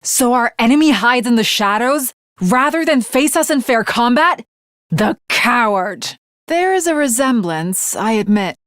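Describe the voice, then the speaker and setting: A woman's voice: fairly high pitch, animated, measured pace, a bit bright, dry recording, studio quality. A woman playing an earnest, haughty young female samurai in an anime video game, with formal, archaic, melodramatic diction. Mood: scornful. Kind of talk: dramatic anime game character acting.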